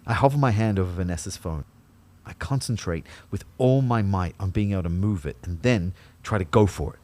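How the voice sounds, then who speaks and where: A man's voice: sits low, brisk, mellow, close to an everyday voice. A man playing an anxious, lovelorn dead young man, narrating his limbo introspectively in the first person for an audio drama. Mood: intent. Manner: introspective, intent.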